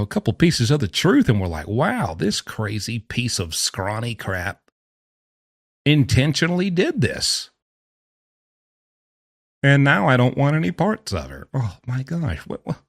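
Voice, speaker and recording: A man's voice: medium pitch, husky, no room sound, studio quality. A man giving casual, sarcastic reaction commentary as a host, mocking and theatrical in his emphasis. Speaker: host commenting on prison phone calls.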